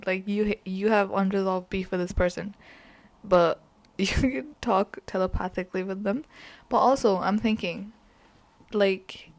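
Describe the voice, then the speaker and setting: A woman's voice: mid-range voice, no echo. A young woman, a podcast co-host whose first language is Urdu, chatting casually and laughing often. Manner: chatty, giggly, animated. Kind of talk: casual chat.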